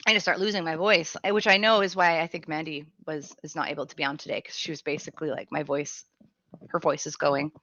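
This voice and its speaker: A woman radio host who is sick with a cough, talking frankly and casually and swearing now and then. Her voice is bright and forceful but sits right on the mic, with a dry, dull, lo-fi sound. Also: low-pitched, steady tone, fast talker, dry recording. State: sick with a cough and chest tightness. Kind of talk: casual chat reading listener comments. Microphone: close studio mic.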